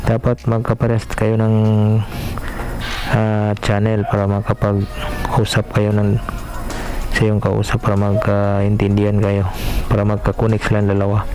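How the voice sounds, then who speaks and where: A man from the Philippines hosts a casual unboxing vlog, pausing on fillers as he speaks directly to his viewers. His low voice stays almost completely flat in pitch. Music plays clearly underneath. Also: rough recording. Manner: hesitant, filler-heavy, talks directly to viewers. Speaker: vlog host.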